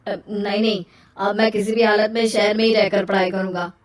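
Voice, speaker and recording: A woman's voice: medium pitch, little pitch movement, brisk, faint music behind, natural voice. A woman acts a spoiled, stubborn young man from a cartoon village story. He talks bluntly and insistently in a roomy, echoing space, a bit away from the mic. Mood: determined. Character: self-centred, stubborn young man, a spoiled rich son. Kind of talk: animated moral story dialogue. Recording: roomy echo, distant from mic.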